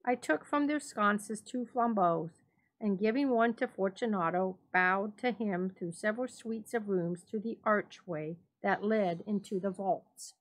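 Woman reading a story in measured tones. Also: medium pitch, rough recording. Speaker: narrator.

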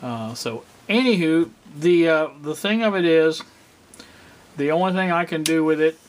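A middle-aged man giving a casual, unscripted demonstration, halting and rambling as he thinks aloud. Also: a little high, a little hiss or hum. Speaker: hobbyist presenter. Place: indoor home workspace.

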